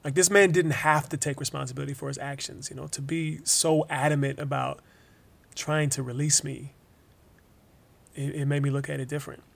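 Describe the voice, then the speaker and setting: An actor playing a weary but forgiving wrongfully imprisoned young man in an interview-style drama monologue, halting and informal, repeating words. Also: fairly high pitch, level tone, vocal fry. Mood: grateful, earnest.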